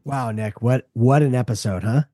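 A man hosting a podcast, chatting casually in a halting, reflective manner. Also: mid-range voice, mellow, no echo, studio quality, faint music behind. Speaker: podcast host. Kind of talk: casual chat.

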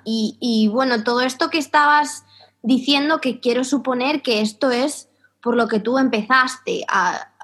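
A woman host, chatting in a lively, animated way and laughing easily. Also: mid-range voice. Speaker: interviewer, host. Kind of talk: casual chat.

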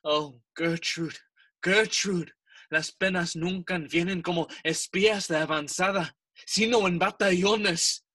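A placating king in Shakespearean audio drama, his voice high and bright. He declaims solemnly in English and Spanish, slowly and deliberately, in a dry recording. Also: fairly even intonation, no room sound, heavily acted. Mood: sorrowful.

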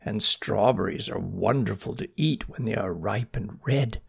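A storyteller voicing a calm, motherly female snail who speaks with gentle, reassuring authority. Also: medium pitch, unhurried, vocal fry, breathy edge, exaggerated voice. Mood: crooning. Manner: soft, reassuring, gently commanding.

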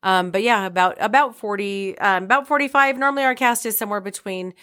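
A woman's voice: mid-range voice, quick pace, pushed and bright. A middle-aged woman talking casually as a theater director being interviewed on a podcast.

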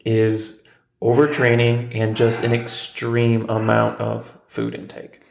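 A man's voice: medium pitch, takes it slowly, gravelly, echoey room. A man in his forties chatting casually on a podcast, telling stories with lots of ums. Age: 40s. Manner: relaxed, storytelling, frequent ums. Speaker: podcast co-host.

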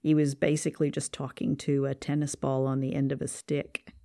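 A man interviewing a guest casually on a podcast, with halting, hesitant phrasing. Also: mid-range voice, monotone, dark tone.

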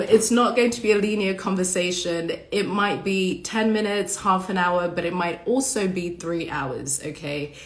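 A woman hosting a casual livestream monologue, hoarse and raspy from a cold, talking passionately and bluntly with casual swearing. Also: fairly low pitch, a bit bright, reverberant. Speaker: podcast host.

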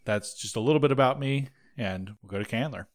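A man in his late twenties from North Georgia hosting a travel podcast in a relaxed, rambling way. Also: mid-range voice, brisk. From North Georgia, USA. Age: 20s. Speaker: podcast host. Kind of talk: casual podcast chat.

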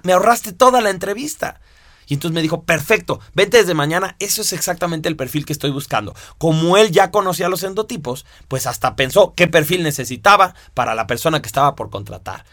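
A man teaching a course, explaining step by step with lively rhetorical questions. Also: sits high, fast talker, no echo, clean studio sound. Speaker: instructor. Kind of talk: lecture to students.

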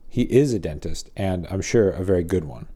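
A man's voice: sits low, lively intonation, fairly quick, dark tone. A man narrating a scripted story feature as host, with wry, dry asides.

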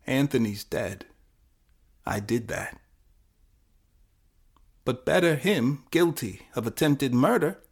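A man performing a dramatic first-person story as a troubled, intense teenage boy with foresight, in a halting, deliberate manner with dramatic pauses. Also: mid-range voice, vocal fry, breathy edge, heavily acted. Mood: earnest, self-justifying. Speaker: writer performing own short story. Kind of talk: dramatic first-person monologue, flash fiction podcast.

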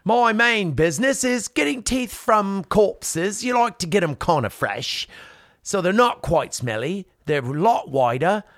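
A man's voice: high voice, lively intonation, a little slow, a bit bright, no room sound, studio quality, exaggerated voice. A man playing a cheerfully macabre quack dentist from old London, with a British accent, explaining in a mock-learned, matter-of-fact way in improvised comedy. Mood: breezy, macabre. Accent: British English. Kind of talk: improvised comedy role-play.

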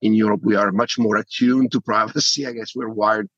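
A man's voice: on the low side, steady tone, fairly quick, fairly bright tone, slightly rough, no room sound, highs cut off. A middle-aged man with an Italian accent, a podcast guest talking animatedly and often repeating words as he restarts. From Italy. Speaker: podcast guest, company founder. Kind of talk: interview conversation.

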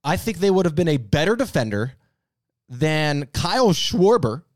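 Animated, rambling, slangy man in casual banter. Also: on the high side, normal speed, no echo, clean studio sound. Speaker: podcast co-host.

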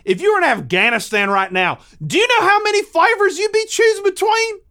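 A man voicing a folksy small-town father in a comic story, proud, patriotic and gently instructive. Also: very high-pitched, sing-song, a little fast, voice pushed up, exaggerated voice. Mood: leading, instructive.

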